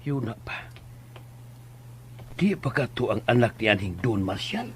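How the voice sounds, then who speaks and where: An actor with a Cebuano Filipino accent playing a down-to-earth older father in a radio drama, grumbling fondly and gently scolding. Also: mid-range voice, sing-song, unhurried, slightly rough, full character voice. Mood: curious, bantering.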